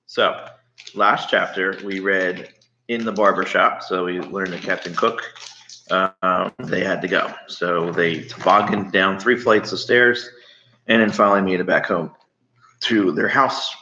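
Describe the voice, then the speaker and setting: A man reading a storybook aloud, pausing to explain things and ask questions as he goes. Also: low voice, steady tone, sharp tone, slight background noise. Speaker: read-aloud storyteller to children. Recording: slightly muffled, echoey room.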